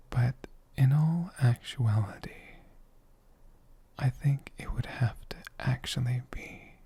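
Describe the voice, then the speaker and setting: A man voicing a sleepy, affectionate dragon shopkeeper in a soft, breathy, whispered ASMR roleplay, with a drowsy and gently formal way of talking. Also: mid-range voice, full character voice. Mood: gentle, musing.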